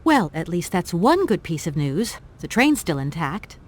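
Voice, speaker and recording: A woman's voice: fairly low pitch, unhurried. A woman playing a plucky, practical young adventurer in a video game, with a natural, wry delivery. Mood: wry, exasperated. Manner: natural, expressive, casually wry. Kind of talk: video game character acting.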